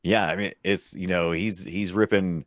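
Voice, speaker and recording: A man's voice: sits low, steady tone, moderate pace, no echo, studio quality. A man chatting casually about films as a podcast guest, telling it expressively with little acted asides. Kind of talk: casual movie discussion.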